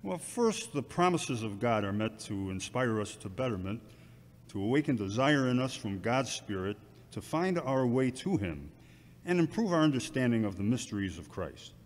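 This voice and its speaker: A man playing a sober, counselling church deacon with a measured, instructive manner in an amateur audio drama. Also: mid-range voice, very animated, natural voice. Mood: sober, advising. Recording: reverberant, faint background ambient noise.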